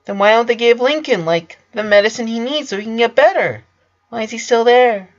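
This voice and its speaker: A man's voice: very high-pitched, a little fast, voice pushed up. A man voicing a naive, ditzy teenage girl with a hesitant, questioning manner, in a story reading. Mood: worried, confused. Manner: hesitant, filler "like", pleading questions. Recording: dull lo-fi sound.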